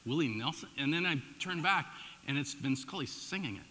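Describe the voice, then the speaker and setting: A middle-aged American man preaching as a pastor, telling personal stories in an informal, animated, conversational way with emphatic swings and dropped asides. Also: fairly high pitch, moderate pace. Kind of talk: conversational sermon with personal storytelling. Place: large church room, addressing a congregation. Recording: echoey hall with long reverb.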